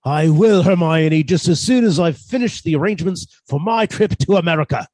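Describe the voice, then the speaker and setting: Male actor as henpecked murderous husband, radio thriller, lively swooping pitch, precise, politely menacing, dry close recording. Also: moderate pace, no room sound. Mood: gloating, menacing. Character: henpecked, meek husband turned coolly gloating murderer. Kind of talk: radio suspense drama acting with narration.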